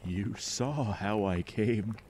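An actor playing an ancient, arrogant alien mind in a retro radio drama, declaiming slowly and pompously with contempt. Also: male voice, on the low side, a little mellow, husky. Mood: commanding.

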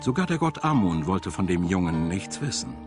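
A man narrating a scripted documentary in a measured, dramatic storytelling manner. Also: deep voice, very animated. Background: music.

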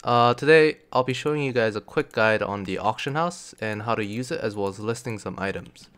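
A man's voice: fairly low pitch, expressive, brisk, fairly bright tone, clear, resonant tone. A young man giving a casual tutorial as a game guide, explaining things plainly. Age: young adult. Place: indoor room, seated at computer.

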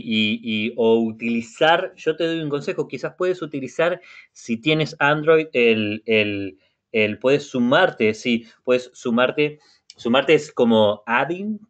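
A man with a Uruguayan Spanish accent guides learners through an online conversation class. His voice sits at a middle pitch, and he talks slowly, repeating words with patience. The sound is muffled, like web meeting audio. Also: a bit bright. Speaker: language class facilitator.

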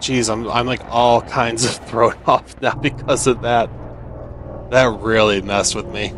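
A man's voice: medium pitch, steady tone, a little slow, fairly bright tone, a little hiss or hum. A man giving casual gameplay commentary, thinking aloud and asking himself questions as he plays. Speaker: gamer playing and commenting on a video game.

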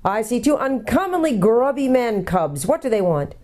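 A woman's voice: fairly high pitch, very animated, normal speed, darkish tone, pitched above the performer's own voice. A woman voicing a deaf, irritable old male dwarf who barks querulous questions.

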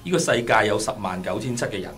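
A man's voice: fairly low pitch, expressive, fast talker, a bit bright. Man playing a stingy, brusque Cantonese boss. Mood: irritated. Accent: Hong Kong Cantonese. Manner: brusque, dismissive, stammers when frightened. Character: stingy, sharp-tongued middle-aged male boss. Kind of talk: radio drama acting. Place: small padded radio studio. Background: music.

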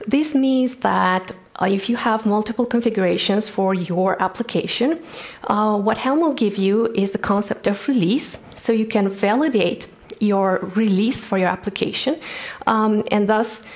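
A woman's voice: medium pitch, measured pace, darkish tone. A young woman with a Romanian accent giving a technical conference talk on a large stage, explaining earnestly with frequent ums and restarts. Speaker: conference speaker.

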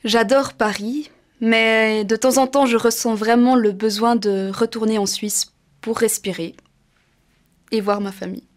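Woman, twenties, from Switzerland, fairly high voice, personal introduction to camera. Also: steady tone, unhurried. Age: 20s. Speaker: drama student presenting herself. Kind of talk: informal talk to camera about herself. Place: indoor studio.

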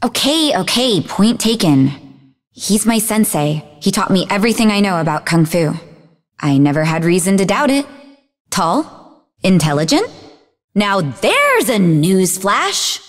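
An actress playing a sarcastic, defensive young woman in a video game, speaking in an expressive, conversational way. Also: medium pitch, unhurried, in a large hall, faint music behind. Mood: guarded, then wry. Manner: snarky, conversational, emotionally expressive. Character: sarcastic, defensive young woman, idealistic martial-arts student and researcher. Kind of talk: video game character dialogue.

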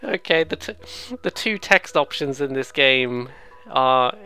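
Bright-voiced let's player, casual and rambling. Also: medium pitch, animated, slow pace, clean tone, soft background music. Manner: rambling, playful, mimics sounds and game lines. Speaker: let's play host. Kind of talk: casual video game commentary.

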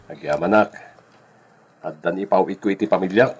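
A man's voice: low voice, moderate pace, breathy edge. A man with a Filipino accent acting in a radio drama as a bashful, grateful young garage worker.

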